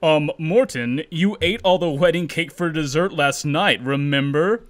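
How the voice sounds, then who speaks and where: A man hosting a podcast in an animated, self-amused way, reading a script and chatting casually. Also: fairly high pitch, unhurried. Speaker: podcast host and narrator. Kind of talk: casual comedic reading and chat.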